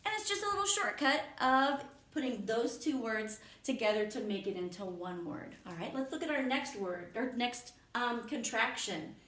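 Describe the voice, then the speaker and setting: A woman's voice: fairly high pitch, expressive, moderate pace, few pauses, a bit bright. A woman teaching a lesson to young children, speaking patiently and encouragingly and explaining things step by step. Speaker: elementary school teacher. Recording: echoey room.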